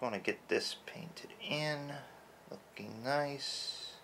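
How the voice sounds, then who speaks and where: A man quietly rambling as he paints a toy, his throat a little rough from early-morning allergies. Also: medium pitch, takes it slowly, slight background noise. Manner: soft-spoken, rambling with fillers. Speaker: toy customizer. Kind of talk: casual hobby narration while painting.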